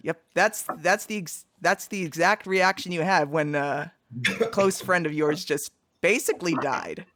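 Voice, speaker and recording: A woman's voice: lowish voice, a little slow, a bit bright. A woman chatting casually as a participant in a livestream.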